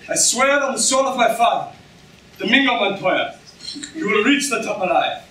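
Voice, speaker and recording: A man's voice: sits high, very animated, normal speed, bright, forceful tone, heavily acted. A young man acting a courteous, earnest Spanish swordsman in a theatrical staged reading. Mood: solemn. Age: young adult. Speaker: student actor in a staged reading. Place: lecture room stage before an audience. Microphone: far camera in the audience. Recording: distant, echoey room with noise.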